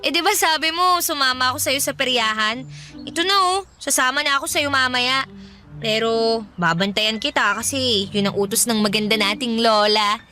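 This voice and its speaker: Radio drama: a timid, reluctant girl, high and bright. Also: normal speed. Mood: playful. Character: shy, homebody teenage girl. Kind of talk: classic radio drama acting.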